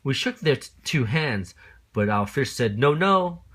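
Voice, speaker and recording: A man with a mid-pitched voice reads a children's story in verse. He is expressive and keeps a rhythmic, sing-song delivery, as a parent reading to a child. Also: unhurried, slightly rough. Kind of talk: expressive children's picture-book read-aloud.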